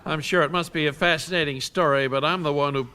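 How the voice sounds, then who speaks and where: A man's voice: fairly high pitch, steady tone, quick pace, sharp tone, clean tone. A man voicing a haughty, indignant wealthy socialite woman in an imperious, complaining manner for a spoof radio soap opera. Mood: haughty, impatient. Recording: faint noise, old radio recording.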